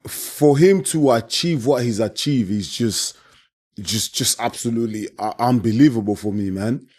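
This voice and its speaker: Hoarse man, mellow tone, passionate, repetitive casual talk. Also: mid-range voice, normal speed. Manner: passionate, repeats himself, keeps saying "man". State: hoarse, voice worn out from shouting. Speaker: boxing channel host. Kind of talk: casual post-fight reaction monologue.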